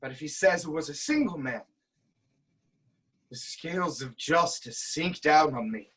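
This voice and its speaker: A performer playing a proud, anguished king haunted by prophecy, in a grave, deliberate dramatic reading of Greek tragedy. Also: mid-range voice, lively intonation, a bit bright, slightly rough. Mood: guarded hope.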